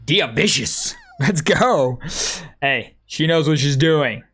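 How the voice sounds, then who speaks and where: An excitable game streamer chats casually and slangily in a mid-pitched voice. The intonation is animated, and faint game music runs underneath. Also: takes it slowly. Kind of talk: casual live reaction commentary, reading aloud.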